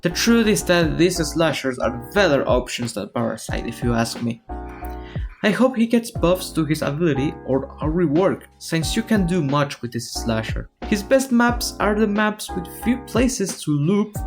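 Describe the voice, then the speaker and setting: A gaming creator whose first language is Spanish talks through a character ranking, stopping and restarting phrases as the thoughts come. The pitch sits mid-range but swings up and down a lot. Soft music plays quietly underneath. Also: very animated, a little slow. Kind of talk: ranking commentary on a game.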